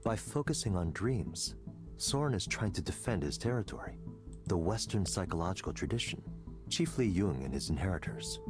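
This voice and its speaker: A man playing a confident, coldly intellectual tech executive in a visual novel, lecturing in a deliberate, persuasive way. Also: low-pitched, expressive, music in the background. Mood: smug, dismissive.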